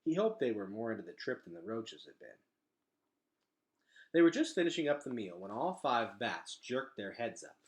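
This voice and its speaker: A man reads a children's adventure novel aloud with a very lively, swinging intonation. His pitch sits in the middle range for a man, and his delivery is expressive and dramatic. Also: moderate pace. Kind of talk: expressive read-aloud storytelling.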